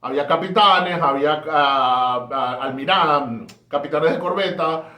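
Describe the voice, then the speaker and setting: A man's voice: fairly high pitch, a little slow, pushed and bright. A man from Caracas with a Venezuelan accent, giving an emphatic, rhetorical talk on history. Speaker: history presenter. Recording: roomy echo.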